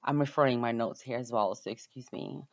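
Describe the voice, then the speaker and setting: A woman with a Ukrainian accent giving an informal self-introduction as a student, often pausing to search for her words. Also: extremely low pitch, gravelly, darkish tone, lo-fi sound. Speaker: university student introducing herself.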